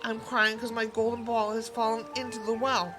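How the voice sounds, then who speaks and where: A woman's voice: a little high, flat delivery, normal speed, music in the background, lightly acted. A woman voicing a spoiled, disdainful young princess in a storybook fairy tale, petulant and dramatic. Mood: upset.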